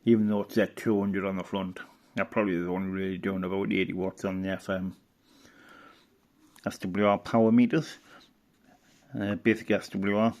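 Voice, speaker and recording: A man giving casual, unscripted hobbyist commentary, halting and muttering as he browses, often trailing off. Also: low voice, flat delivery, a little mellow. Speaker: hobbyist presenter.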